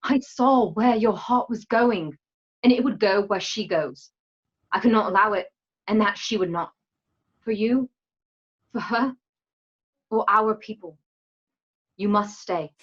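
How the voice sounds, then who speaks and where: A woman's voice: mid-range voice, husky. Solemn, resolute sister played by a woman, muffled recording. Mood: firm, unapologetic. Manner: formal, solemn, measured phrasing. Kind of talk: online radio play acting. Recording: dull, lo-fi home microphone.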